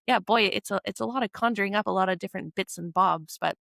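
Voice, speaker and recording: Upbeat Canadian woman, 20s, podcast host, mid pitch, gravelly, dry studio sound. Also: fast, fairly bright tone, no room sound. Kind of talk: casual podcast chat.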